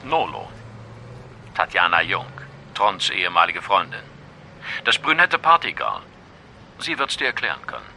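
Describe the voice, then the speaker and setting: Shady informant, very sharp lowish voice, lively pitch, cryptic and insistent, radio play. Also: male voice, moderate pace, creaky, pauses often, slight background noise, heavily acted. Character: mysterious, commanding male informant giving warnings. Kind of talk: German audio drama acting.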